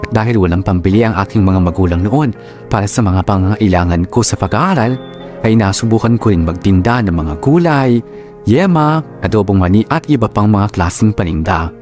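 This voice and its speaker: An actor playing an earnest man recalling a hard youth, in measured first-person radio drama narration. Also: lowish voice, expressive, darkish tone, soft background music, lightly acted. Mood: reflective.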